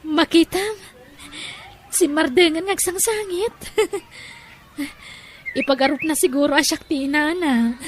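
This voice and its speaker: A woman's voice: high-pitched, slow pace, long pauses, slight background noise. A woman playing an emotive, jilted young woman in an Ilocano audio drama. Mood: flustered, tender. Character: tearful jilted young woman, kind to a child.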